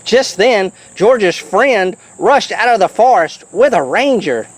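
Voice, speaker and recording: A storybook reader takes a children's tale slowly, with a very lively, varied pitch. The delivery is animated and chatty, dropping playful asides to the young listener between passages. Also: no room sound.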